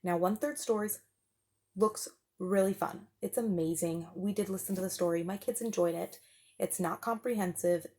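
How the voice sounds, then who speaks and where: A woman's voice: fairly low pitch, moderate pace, darkish tone. A woman giving a casual, explanatory product review as a parent, talking informally and with enthusiasm.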